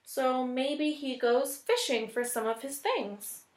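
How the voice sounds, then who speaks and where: A woman reading a picture book aloud as a teacher would, deliberate and expressive, pausing to think aloud. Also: sits high. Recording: echoey room.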